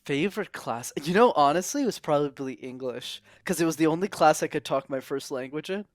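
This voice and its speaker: A young man from Montreal, a podcast guest, telling his story in an earnest, animated way with quick asides. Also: a little high, dry recording. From Montreal, Canada. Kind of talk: casual interview.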